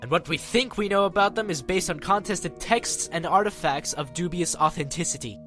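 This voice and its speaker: A man's voice: high-pitched, normal speed, over music, close to an everyday voice. A man playing a skeptical, exasperated robot commander in an audio drama, sardonic and pragmatic.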